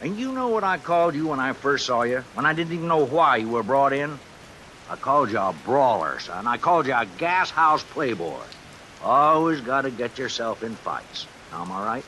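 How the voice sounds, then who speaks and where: A man's voice: medium pitch, sing-song, normal speed, sharp tone, rough recording. A man acting a condescending, folksy older constable in a 1950s radio drama. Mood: lecturing, wry. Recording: hissy old radio recording under rain sounds.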